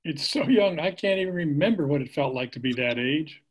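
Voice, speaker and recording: A man's voice: on the high side, expressive, a little fast, husky. A middle-aged actor playing a dad who gets defensive and indignant about his past, on a video call.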